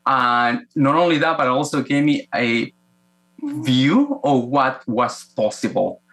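A man speaks with a Guatemalan Spanish accent in a bright, forceful voice of medium pitch. He tells personal stories as a podcast guest. His phrasing is halting, with repeated words and frequent restarts. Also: big pitch swings. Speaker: podcast guest, executive coach. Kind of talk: conversational personal storytelling.

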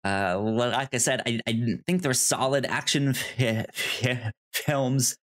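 Slow-paced man, halting podcast co-host. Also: medium pitch, a bit bright. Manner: halting, stammering with repeated false starts. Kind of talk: casual movie discussion.

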